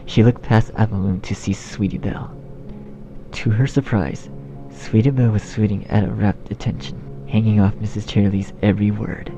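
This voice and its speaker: A man's voice: fairly low pitch, steady tone, normal speed. A man reading scripted story narration as the narrator. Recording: dull, muffled sound under music. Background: music.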